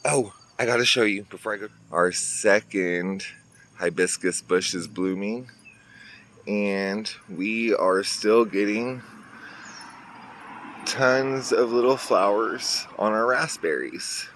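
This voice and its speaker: Low-voiced man touring his garden. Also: takes it slowly, fairly bright tone. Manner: chatty, pointing things out to viewers. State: a little congested. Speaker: garden vlogger. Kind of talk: casual garden walkthrough. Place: outdoors in a garden near a road. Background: faint traffic and outdoor ambience.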